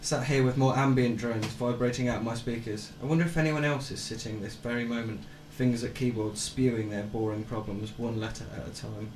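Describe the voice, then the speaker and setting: Actor as bored, self-pitying young man, mid-pitched, echoey room, radio-play spoken word. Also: steady tone, brisk, few pauses, a little mellow, a little hiss or hum, natural voice. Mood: brooding.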